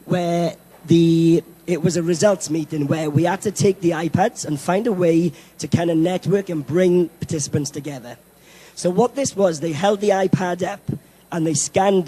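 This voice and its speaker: A Welsh man speaks to a conference audience in a hoarse voice that sits high for a man yet sounds mellow and warm. He is upbeat and self-deprecating, with plenty of ums and uhs. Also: poor audio. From Wales. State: hoarse, lost his voice. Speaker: event technology presenter. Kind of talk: conference presentation. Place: conference hall.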